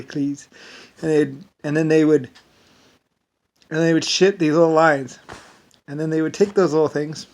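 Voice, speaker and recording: A man's voice: fairly high pitch, darkish tone. An American man with an Irish-sounding lilt, talking in a rambling, meandering casual monologue. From United States. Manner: rambling, meandering, leans on "basically".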